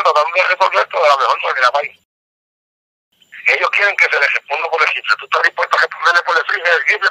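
Fast, pressuring man on a phone line. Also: fairly high pitch, pushed and bright, no echo. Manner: pressuring, intimidating, repeats "me entiendes". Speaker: phone scam caller. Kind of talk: phone call. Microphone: telephone.

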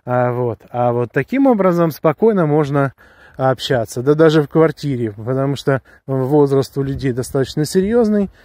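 A man's voice: mid-range voice, animated, normal speed, a little mellow, no room sound, clean studio sound. A man chatting casually and enthusiastically to viewers in a rambling, slangy way, recorded outdoors on a handheld phone. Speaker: vlogger reviewing a walkie-talkie. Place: outdoors on a grassy hillside with pines.

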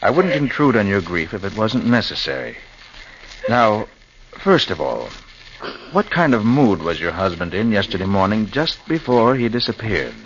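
An actor playing a calm, probing detective in a 1940s radio drama, brisk and questioning. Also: male voice, lowish voice. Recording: dull old radio recording with faint hiss.

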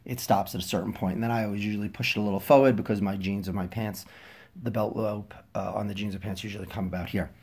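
A man's voice: fairly low pitch, steady tone, fast talker, slightly rough. A man with a congested, stuffy voice from a head cold, casually reviewing a product at home with lots of ums and restarts. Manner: chatty, many ums and restarts, drops softer for close-up details. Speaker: fashion vlogger. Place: indoors at home, filming over a carpeted floor.

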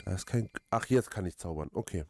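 A man giving casual let's play commentary, haltingly thinking aloud and repeating his words. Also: deep voice, animated, vocal fry, background noise. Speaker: let's player. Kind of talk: casual, rambling game commentary.

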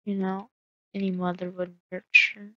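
A woman's voice: mid-range voice, level tone, measured pace, pushed and bright, no echo, highs cut off. A woman casually narrating her solo game roleplay, musing aloud with hesitant fillers.

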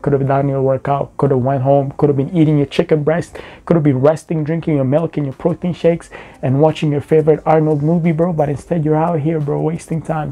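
Laid-back young man mocking with a mellow voice. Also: fairly high pitch. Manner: laid-back, mocking, says bro often. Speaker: reaction video host. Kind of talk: casual commentary.

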